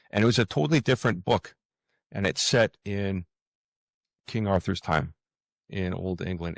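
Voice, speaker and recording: Deep voice guy being interviewed, earnest, kinda halting, repeats himself, dry close sound. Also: dry recording. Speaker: podcast guest, fantasy writer. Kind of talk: interview conversation, reflective.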